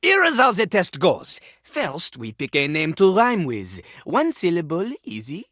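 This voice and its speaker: A woman's voice: fairly low pitch, huge pitch swings, unhurried, a bit bright, no room sound, clean studio sound, exaggerated voice. A woman voicing a cocky, ruthless talking toilet rapper in a video game, with a brash, mocking, grandiose manner. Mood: boastful, instructing. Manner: brash, mocking, grandiose, speaks of self in third person. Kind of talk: animated video game character acting.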